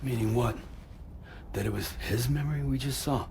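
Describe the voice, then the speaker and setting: A male actor plays a guarded game hero who says little. His mid-pitched voice has a gravelly fry and a terse delivery, over faint noise. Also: moderate pace, darkish tone, close to an everyday voice. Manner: terse, low-key. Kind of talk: video game cutscene acting.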